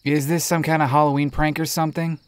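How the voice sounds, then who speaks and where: A man's voice: a little high, flat delivery, fast talker, clean tone, no room sound, natural voice. Skeptical young guy, dry close sound, man acting. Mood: incredulous. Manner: incredulous, casual. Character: skeptical, outspoken young male party-goer. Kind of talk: audio drama acting.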